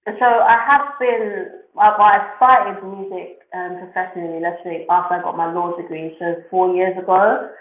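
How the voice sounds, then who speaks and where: Woman, calm with lots of ums, sounds like a phone line in an echoey room. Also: on the low side, moderate pace, few pauses. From Nigeria and England. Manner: relaxed, steady, many ums. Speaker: musician guest. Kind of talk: interview answers. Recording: echoey phone-like remote audio.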